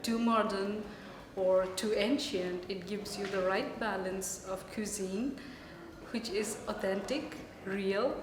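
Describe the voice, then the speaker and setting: A woman's voice: mid-range voice, slow. Woman, composed and fluent. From India. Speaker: culinary student. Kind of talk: interview testimonial. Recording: echoey room with background noise.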